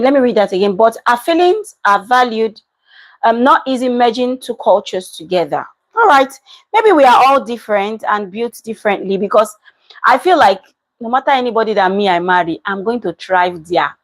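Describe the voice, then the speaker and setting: A woman's voice: fairly high pitch, poor audio. A woman, giving casual reaction commentary and reading out viewer comments in an emphatic way that repeats points. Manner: animated, emphatic, repeats herself. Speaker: commentary vlogger.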